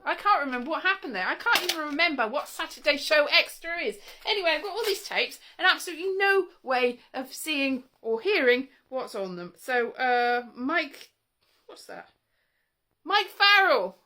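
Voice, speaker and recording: A woman with a high-pitched, bright voice speaks in an informal vlog monologue. She is chatty and wry, wandering from one thought to the next and cutting herself off as she goes. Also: a little hiss or hum. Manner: chatty, wry, self-interrupting. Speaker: vlogger showing old interview tapes. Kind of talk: casual rambling monologue to camera.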